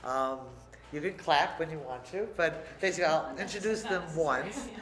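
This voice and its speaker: A man moderating a university panel in a relaxed, loose way, with many fillers. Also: fairly high pitch, moderate pace. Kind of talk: casual introductions at a panel. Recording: echoey room, distant mic, faint noise.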